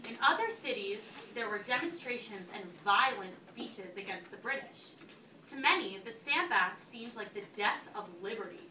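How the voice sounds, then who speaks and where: A woman teacher reading aloud to a class in an instructive way, pausing to check understanding with questions. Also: a little high, expressive, pushed and bright. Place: school classroom. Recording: echoey classroom, distant mic, faint noise.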